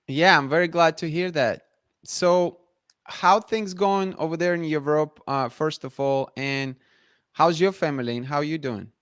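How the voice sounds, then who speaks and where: Male host of a live online show, smooth clear voice, dry close sound, upbeat with viewers. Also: fairly high pitch, a little slow, a bit bright, no room sound. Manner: upbeat, greets viewers by name. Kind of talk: live stream hosting and interviewing. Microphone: video call.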